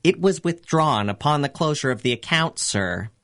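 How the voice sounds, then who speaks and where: An actress playing a prim, officious bank clerk with a formal, haughty manner in a 1930s-style radio drama. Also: female voice, low voice, lively intonation, moderate pace, a bit bright. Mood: prim, unyielding.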